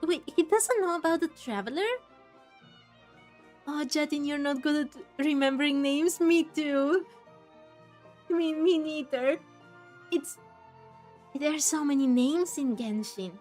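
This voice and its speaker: A woman's voice: high-pitched, unhurried, long pauses, a little mellow, faint music behind. A woman streaming a game, commenting casually and musing aloud in a reactive way, sometimes reading text out. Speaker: gameplay streamer.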